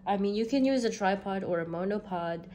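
A woman's voice: mid-range voice, fairly quick, mellow. A woman, chatting casually to camera as a vlogger from an armchair in a small room, laughing between sentences. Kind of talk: casual vlog chat to camera.

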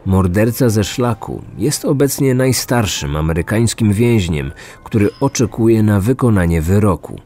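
A man's voice: fairly low pitch, a little mellow. A man delivering scripted true crime documentary narration as a podcast narrator.

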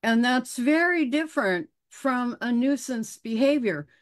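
A woman who is a dog behavior specialist, speaking in a panel discussion over a video call, slow and thoughtful with hesitant restarts. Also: on the high side, expressive.

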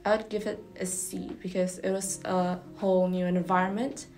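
Soft-spoken young woman, calm. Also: on the low side, moderate pace. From Indonesia. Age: young adult. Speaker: university student. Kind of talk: interview to camera. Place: indoor university building lobby. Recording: faint music under the voice.

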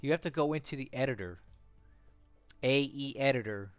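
A man with a mid-pitched voice and a slightly stuffy nose walks the listener through a game tutorial in a loose, conversational way. He thinks aloud and keeps checking in with the audience. The recording is dry and close, with faint music underneath. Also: moderate pace, clean tone, no echo. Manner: conversational, repeats "right?" and "okay?", thinks aloud. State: stuffy from a cold. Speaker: strategy game tutorial host. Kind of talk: casual screen-share tutorial.

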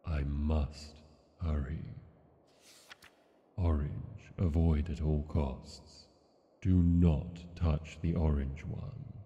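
Man playing a very deep, brooding dragon king. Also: little pitch movement, warm tone, heavily acted. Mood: grave, fearful. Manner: slow, halting, grave delivery. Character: brooding, dread-haunted male dragon king. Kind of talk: fantasy ASMR audio drama. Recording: muffled, long cavernous reverb.

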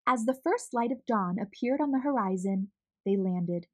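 A woman narrating a children's storybook as a read-aloud host, expressive, measured and clearly enunciated. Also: a little high.

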